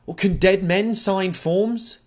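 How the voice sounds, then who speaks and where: A man's voice: high voice, fairly even intonation, slow, voice pushed up. A man reading an audiobook, voicing a grieving, curt and defensive young woman with a terse, insistent manner. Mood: defiant. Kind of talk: audiobook character dialogue.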